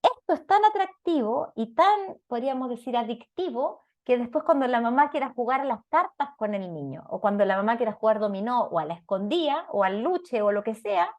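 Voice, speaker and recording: A woman's voice: fairly high pitch, sing-song, brisk, hardly any pauses, darkish tone, muffled recording. A woman with a Chilean Spanish accent giving an explanatory talk as a parenting lecturer, didactic and often checking in with short questions.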